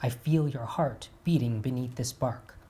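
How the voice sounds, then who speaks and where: A storyteller voicing a proud young male god of light who pleads and shouts after the one he loves. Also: medium pitch, a little slow, slight background noise, close to an everyday voice. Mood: mournful.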